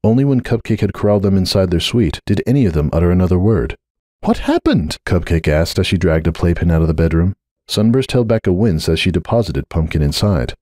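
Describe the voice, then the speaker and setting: A man's voice: low voice, fast, dark tone, no echo, studio quality. A man giving a dramatic scripted narration of a story.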